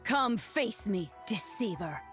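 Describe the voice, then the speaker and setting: A woman's voice: mid-range voice, sing-song, slow, a bit bright, faint music behind. Stoic warrior woman leader. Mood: defiant. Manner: solemn, confident, earnest. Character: stoic, courageous young woman blade-dancing army leader.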